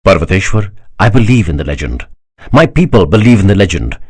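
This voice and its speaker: A man's voice: deep voice, very animated, fairly quick, a little mellow, slightly rough, heavily acted. A man reading a proud, devout emperor who speaks with solemn courtesy and rising passion. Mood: fervent. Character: proud emperor, older man, fervent believer.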